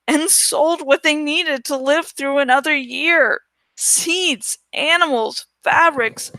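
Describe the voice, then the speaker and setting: A woman's voice: sits high, sharp tone, no room sound. A woman reading a story aloud as scripted narration. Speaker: audiobook-style reader.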